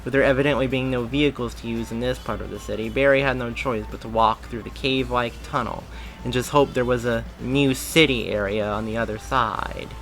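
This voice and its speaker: A man reads a fan-fiction story aloud in a steady voice, now and then stumbling and restarting a phrase. His voice is bright and forceful, at a middling pitch for a man, with faint music behind. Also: a little fast. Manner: steady, with small slips and restarts. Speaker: story reader.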